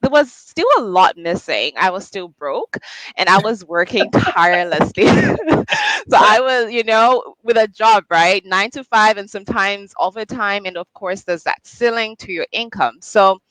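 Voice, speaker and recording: A woman with a Zambian accent and a mid-range voice, talking as a podcast guest. She is lively and laughs easily, and the recording is dry and close. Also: medium pitch, a little slow, dry recording, low-quality recording. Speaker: guest, business owner. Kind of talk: interview chat.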